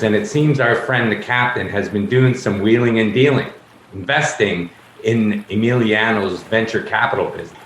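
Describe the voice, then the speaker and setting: A man playing a shrewd, accusatory police inspector in a radio play, speaking with deliberate drama. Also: medium pitch, fairly bright tone, natural voice. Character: shrewd, theatrical police inspector unveiling a murder. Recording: roomy, faint background noise.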